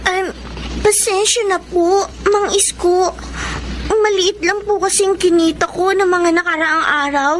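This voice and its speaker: A woman's voice: very high-pitched, steady tone, unhurried. A woman playing a hardworking, polite thirteen-year-old girl vendor in a Tagalog audio drama. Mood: timid, apologetic. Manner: polite, deferential. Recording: clear background noise.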